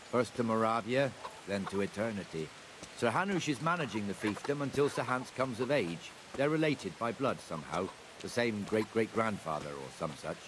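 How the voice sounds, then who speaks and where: A man's voice: fairly low pitch, close to an everyday voice. A man playing a plain-spoken, matter-of-fact townsman in a cinematic game. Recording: clearly noisy outdoor ambience.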